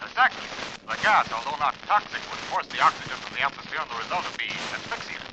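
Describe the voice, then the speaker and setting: Educated doctor, formal, uneasy, lively intonation, slightly high, vintage radio drama. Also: male voice, fast talker. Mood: grave, uneasy. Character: educated, anxious, proper male doctor. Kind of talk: 1940s radio acting. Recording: noisy old radio recording.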